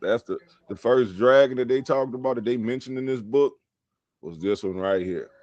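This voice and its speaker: A laid-back man in a casual podcast chat who sometimes reads passages aloud. The recording sounds a little dull and lo-fi, and his voice is mid-pitched. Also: moderate pace, darkish tone, no room sound. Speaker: podcast co-host.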